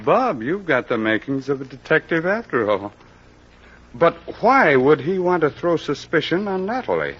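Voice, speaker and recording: An actor playing a gruff, dogged police captain in an old-time radio mystery, curt and wry, with hesitant pauses. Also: male voice, a little high, very animated, fairly bright tone, lo-fi sound, heavily acted. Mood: musing.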